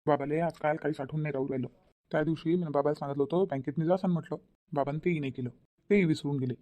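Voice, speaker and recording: A woman's voice: sits low, steady tone, quick pace, mellow, close to an everyday voice. A woman voicing a mild, agreeable village man in a Marathi family cartoon, with a Varhadi accent from Vidarbha. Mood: complaining. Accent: Varhadi Marathi of Vidarbha, India. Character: mild, agreeable village men, father and grown sons. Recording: slightly dull, lo-fi sound.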